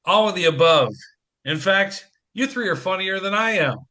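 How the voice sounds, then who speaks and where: An actor playing a harried single dad in an audio play, joking and self-mocking in a theatrical manner. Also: male voice, sits high, animated, normal speed, sharp tone. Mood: wry banter.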